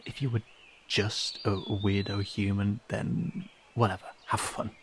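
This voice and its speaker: A man's voice: lowish voice, level tone, measured pace, a little hiss or hum, natural voice. A man playing a wary young male cat-person guide in a fantasy audio drama, speaking casually and hesitantly straight to the listener. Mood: cautious, warning. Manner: hesitant, casual, conversational asides.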